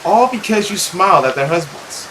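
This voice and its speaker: A man's voice: high voice, lively intonation, normal speed, fairly bright tone, slight background noise, low-quality recording. A man voicing a cruel, possessive mother who scolds and coos at her daughter in a visual novel. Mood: scornful.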